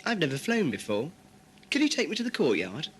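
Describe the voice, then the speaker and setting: Man playing a sceptical, lively British schoolboy. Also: a little high, brisk, a little hiss or hum, heavily acted. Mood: doubtful. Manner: hesitant, dryly sarcastic. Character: sceptical, wry British schoolboy, polite but reluctant. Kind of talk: amateur audio drama acting. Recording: music under dialogue, home-made cassette drama.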